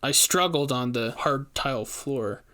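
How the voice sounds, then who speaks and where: A man's voice: mid-range voice, unhurried, bright, forceful tone. Man narrating a first-person horror tale. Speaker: storyteller.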